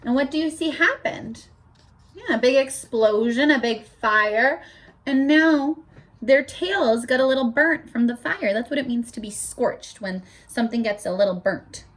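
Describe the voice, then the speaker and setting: A woman's voice: a little high, unhurried, low-quality recording. A woman teacher reading a picture book aloud to children, stopping to explain words and ask for their predictions. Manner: animated, asks children questions.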